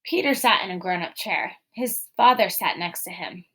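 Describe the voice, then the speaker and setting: Expressive woman teacher reading aloud. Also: lowish voice, normal speed, a little hiss or hum, poor audio. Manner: expressive, explanatory, addresses young children. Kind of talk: storybook read-aloud with guiding questions.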